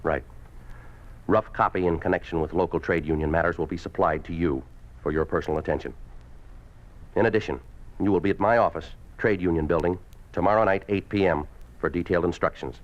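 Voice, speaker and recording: An American actor playing a cold, domineering Communist official in a 1950s radio drama, curt and commanding. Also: male voice, deep voice, brisk, long pauses, close to an everyday voice. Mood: brisk, commanding. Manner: curt, commanding, clipped orders. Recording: dull, lo-fi 1950s radio recording.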